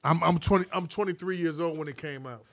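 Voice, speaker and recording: Fifty-something guy from chicago south side, higher voice, dry mic, trips over his words and restarts a lot. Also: fairly bright tone, no room sound. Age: 50s. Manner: halting, stumbles and restarts. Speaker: podcast co-host. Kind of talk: casual chat.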